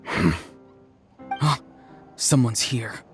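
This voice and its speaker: A polite youngster in a video game, with a mid-pitched voice. The character sounds earnest, hesitant and apologetic, with music clearly playing underneath. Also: male voice, animated, drawn-out words. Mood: uneasy. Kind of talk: English game voice acting.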